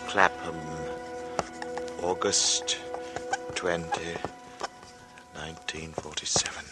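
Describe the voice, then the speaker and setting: An actor in a 1940s radio drama playing an uneasy thirty-five-year-old artist, telling his strange story slowly and deliberately. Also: rumbling low, little pitch movement. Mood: grave, haunted. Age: 30s. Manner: slow, halting, deliberate, dramatic. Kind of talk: 1940s radio drama acting, first-person narration. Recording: vintage radio broadcast with music. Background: dramatic music.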